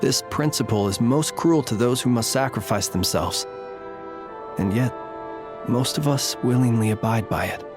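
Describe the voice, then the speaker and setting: Male actor as courteous, grave keeper of a haunted graveyard for a video game; mid-pitched, formal and measured, prominent music underneath. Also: close to an everyday voice. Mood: solemn, thoughtful. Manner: formal, measured, archaic diction. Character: solemn, courteous graveyard lightkeeper who senses ghosts.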